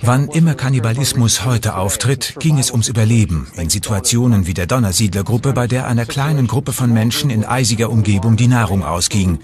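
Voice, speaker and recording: A man's voice: mid-range voice, a little fast, few pauses. Male expert voice-over, medium register, explanatory delivery, audible crowd-like background. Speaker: voice-over translator of documentary experts. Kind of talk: dubbed expert commentary.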